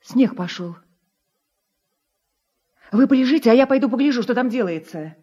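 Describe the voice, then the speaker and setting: An actress playing a calm, understanding farm woman in a radio drama. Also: on the high side, moderate pace, slightly rough, dull audio. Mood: busy, kind. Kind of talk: Soviet radio drama acting. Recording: music loud behind voice.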